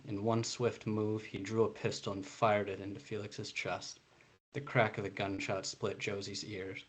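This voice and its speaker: A man's voice: lowish voice, low-quality recording. A man, an author speaking and reading his own story aloud. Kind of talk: reading a prose passage aloud, with some informal introduction.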